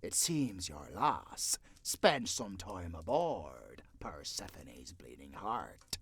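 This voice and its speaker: An actor playing a blustering English gentleman pirate with a rough, gravelly voice, in theatrical audio roleplay style. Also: sits low, big pitch swings, slow, slight background noise, heavily acted. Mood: brooding. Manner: theatrical, swaggering, archaic pirate talk. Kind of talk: cinematic audio roleplay acting.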